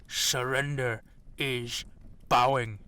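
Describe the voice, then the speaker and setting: A man's voice: mid-range voice, steady tone, very slow, sharp tone, smooth. A man playing a proud, stern old dragon king and warlord father in an audio drama, speaking in a formal, commanding way. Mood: indignant. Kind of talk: dramatic audio roleplay acting.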